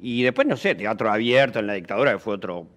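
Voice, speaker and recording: A man's voice: fairly low pitch, lively intonation, quick pace, fairly bright tone. A man with an Argentine accent interviewing conversationally, tentative and often seeking agreement with short tag questions.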